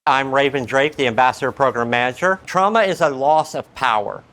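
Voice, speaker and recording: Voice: medium pitch, fairly quick, fairly bright tone. A male-sounding program manager giving instructional talk and coaching as a trainer.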